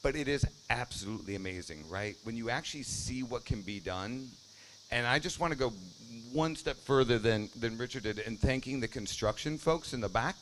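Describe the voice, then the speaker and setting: A man speaking informally at an outdoor ceremony, energetic and congratulatory, restarting phrases as he goes. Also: mid-range voice, moderate pace, poor audio. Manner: energetic, congratulatory, repeats himself. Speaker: funding partner speaker. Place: outdoors at a ribbon cutting. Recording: faint outdoor noise with crickets.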